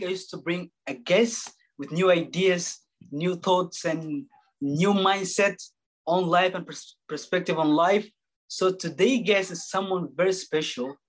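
A man hosting a podcast, talking in a lively, informal way and often repeating himself. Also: high-pitched. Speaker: podcast host. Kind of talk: casual interview hosting.

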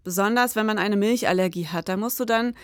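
A woman's voice: mid-range voice, brisk, dry recording, clean studio sound. A woman co-hosting an investigative podcast in scripted dialogue.

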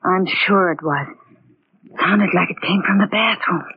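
An actress playing a frightened, insistent gangster's moll, in 1940s radio crime style. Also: female voice, mid-range voice, a little slow, a little hiss or hum, close to an everyday voice. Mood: tense, jumpy. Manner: anxious, insistent. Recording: muffled, tinny 1940s radio recording.

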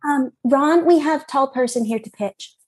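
A woman's voice: high voice, normal speed. A woman improvising an office assistant in a comedy scene, curt and businesslike.

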